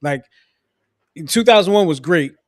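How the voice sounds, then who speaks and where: Host guy going hoarse, kinda high voice, dry close mic, chill teasing vibe. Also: expressive, normal speed, no echo. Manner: laid-back, teasing, repeats sign-off phrases. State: losing his voice. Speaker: podcast host. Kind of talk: casual sports podcast chat.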